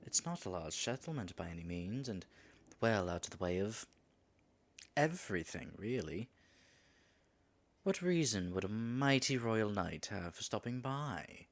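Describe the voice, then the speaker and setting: A man's voice: on the low side, expressive, measured pace, pauses often. A man voicing a flustered, flirtatious dragon in human form in an audio roleplay, stammering nervously and muttering asides to himself. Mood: charmed, curious. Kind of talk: audio roleplay addressed to the listener.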